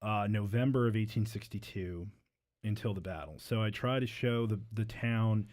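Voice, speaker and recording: A man's voice: lowish voice, normal speed, a little mellow. A man, a novelist, talking casually as a guest in an interview.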